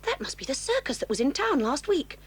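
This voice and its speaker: Haughty, high-pitched, spiteful schoolgirl. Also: sing-song, a little fast. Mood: nosy disapproval. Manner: prim, haughty, indignant. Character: snobbish, sly, spiteful schoolgirl at boarding school. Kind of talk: 1980s children's audio drama acting.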